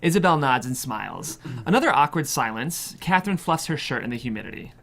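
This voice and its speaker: Expressive screenplay narrator with lively intonation. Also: medium pitch, a little fast, gravelly. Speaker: stage-direction narrator. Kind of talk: scripted narration of a screenplay at a table read.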